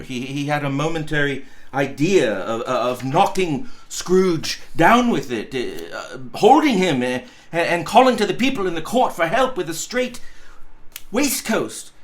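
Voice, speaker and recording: A man, a pastor, reading a story aloud in an expressive, deliberate way with dramatic pauses. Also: a little high.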